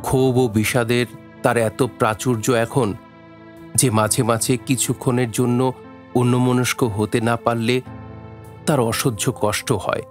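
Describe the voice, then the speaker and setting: Male narrator, mid-pitched, measured and dramatic literary read for audio drama, soft music underneath. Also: flat delivery. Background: faint music.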